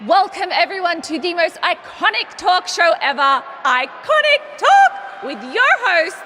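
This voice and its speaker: High, catty Australian wrestling diva. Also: female voice, sing-song, pushed and bright, slight background noise, heavily acted. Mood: showy, smug. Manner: sarcastic, showy, drawn-out emphasis. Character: smug, catty young woman wrestler hosting a talk show. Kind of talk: theatrical wrestling promo.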